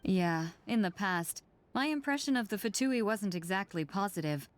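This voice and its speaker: A woman playing a friendly, grateful young townswoman in a video game, speaking in an earnest, warm manner. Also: mid-range voice, animated, moderate pace, close to an everyday voice.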